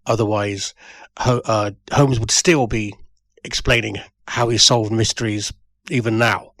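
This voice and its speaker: A man's voice: fairly low pitch, steady tone, a little slow, fairly bright tone, dry recording. A man reminiscing in a spoken tribute, hesitant and thoughtful, with a wry touch. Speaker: writer and producer, guest.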